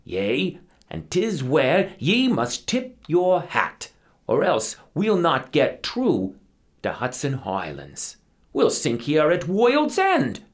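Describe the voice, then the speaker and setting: A man's voice: fairly high pitch, huge pitch swings, slow pace, voice pushed up, full character voice. A man voicing a small, superstitious sailor in a storytelling, pleading urgently in quaint old-fashioned speech. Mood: urgent warning. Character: frantic superstitious little Dutch sailor, pleading.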